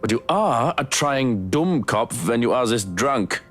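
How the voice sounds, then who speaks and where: An actor playing an exasperated German butler in a visual novel, with a German accent and a dry, scolding manner. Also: male voice, mid-range voice, animated, a little slow, lightly acted. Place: studio game voice track with music behind.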